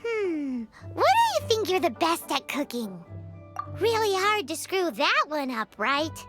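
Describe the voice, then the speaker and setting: A woman voicing a tiny, chatty, cheeky fairy companion with a squeaky childlike voice in a video game. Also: huge pitch swings, unhurried, a bit bright, faint music behind, exaggerated voice. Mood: musing.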